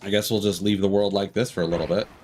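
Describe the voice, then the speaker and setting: A man's voice: fairly low pitch, fairly even intonation, fast talker, a little mellow. A man livestreaming a video game with casual commentary, rambling and analytical as he gives his opinions. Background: video game music.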